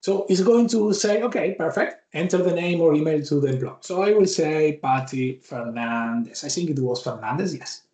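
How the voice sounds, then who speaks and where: A man with an Argentine Spanish accent walks through a software demo as a bootcamp instructor, his voice sitting a little high for a man. He thinks out loud and restarts words as he clicks along. The sound has a roomy echo around it. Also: animated, moderate pace, few pauses. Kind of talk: live software demo walkthrough.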